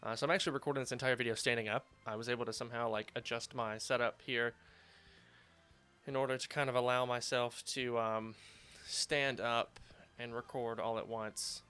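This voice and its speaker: A slightly hoarse man hosting a video game playthrough, chatting casually with lots of fillers while standing at his home setup. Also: medium pitch, steady tone, normal speed, pushed and bright, faint music behind. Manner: rambling, filler-heavy, upbeat. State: slightly hoarse after surgery. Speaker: let's play host. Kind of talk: casual gameplay commentary.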